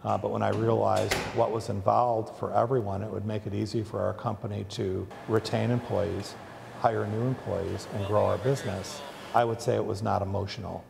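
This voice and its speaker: A man from the Chicago area, a factory owner, talking deliberately in an interview with frequent ums and uhs. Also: fairly low pitch, flat delivery, vocal fry, big-room sound, background noise. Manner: deliberate, with many fillers. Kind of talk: interview soundbite.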